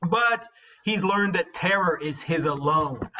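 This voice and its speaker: A man voicing a scornful, boastful warrior hero in a dramatic epic poetry reading, declamatory with drawn-out emphasis. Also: fairly high pitch, expressive, pushed and bright, heavily acted, low-quality recording. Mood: scornful, defiant.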